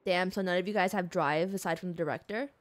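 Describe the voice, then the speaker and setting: A woman's voice: lowish voice, fast talker. A woman giving casual, emotive live reaction commentary as a game streamer, close on the microphone. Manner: exclamatory, emotive.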